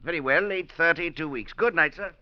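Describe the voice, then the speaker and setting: A man's voice: a little high, fairly quick, heavy background noise, close to an everyday voice. Guy playing a cold snippy scholar, short clipped lines, dull old radio sound. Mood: brusque. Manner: curt and clipped. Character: brusque, self-sufficient male scholar who detests affection. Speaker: professor. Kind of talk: 1940s radio acting.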